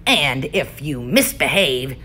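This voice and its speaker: A harsh, bossy substitute teacher snaps her orders at the class in a raised, forceful voice, as a woman reading aloud puts her on. She is strict and unpleasant, with swooping, lively pitch. Her commands come out slow and heavy. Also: husky, heavily acted. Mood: stern, snapping.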